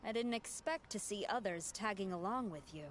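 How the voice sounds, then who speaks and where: A woman voicing a composed, authoritative female officer, formal and measured, in an anime game. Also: mid-range voice, expressive, a little hiss or hum, lightly acted. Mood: composed, official.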